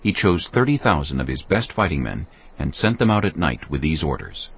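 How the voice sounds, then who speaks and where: A man narrating a dramatized Bible as scripted storytelling, measured and dramatic. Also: rumbling low, big pitch swings, vocal fry, husky, muffled recording.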